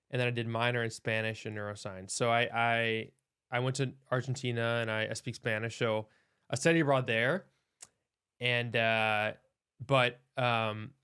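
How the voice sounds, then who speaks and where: Male doctor, 30s, mid pitch, thoughtful interview guest who restarts often. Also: fairly bright tone, clear, resonant tone. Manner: thoughtful, many restarts and hedges. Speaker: osteopathic doctor, podcast guest. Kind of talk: casual interview talk.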